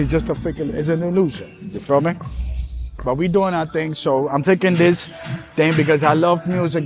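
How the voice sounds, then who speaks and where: A man from Puerto Rico, hosting a podcast in a loose, emphatic, street-casual way at an outdoor street festival. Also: on the high side. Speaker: podcast host. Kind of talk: casual solo podcast monologue. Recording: faint festival music in the background. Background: faint music.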